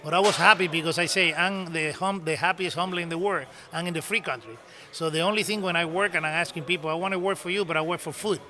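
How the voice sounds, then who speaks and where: A middle-aged man from Cuba with a Cuban Spanish accent, telling stories in animated broken English as a podcast guest. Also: a little high, fast. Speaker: podcast guest, cigar business owner. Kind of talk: casual storytelling conversation.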